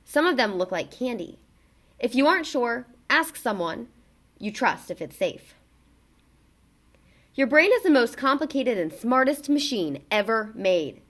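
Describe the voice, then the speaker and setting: A woman reading a children's book aloud in an expressive, emphatic way, as a narrator for young listeners. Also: fairly high pitch, unhurried.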